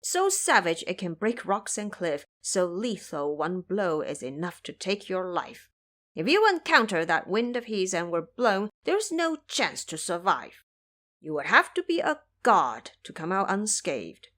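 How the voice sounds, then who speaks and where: A woman's voice: fairly low pitch, sing-song, unhurried, a bit bright, heavily acted. A woman voicing an elderly male farmer, a courteous and solemn host, in an audio drama. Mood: grave.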